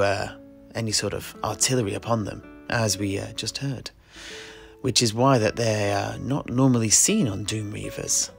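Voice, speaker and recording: A man's voice: fairly low pitch, vocal fry, a bit bright, slightly rough, heavily acted. A man playing a scholarly tavern keeper and amateur historian, musing and explaining pedantically in a fantasy audio play.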